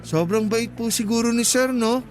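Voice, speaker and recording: A man's voice: very high voice, moderate pace, faint music behind. A man playing a polite, chatty, careful young motorcycle taxi driver in a radio drama, eager and courteous. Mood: sympathetic.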